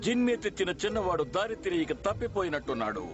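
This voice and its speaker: A man playing a cheeky, excitable robot monkey in an animated series dub. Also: sits high, quick pace, highs cut off, over music. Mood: mocking.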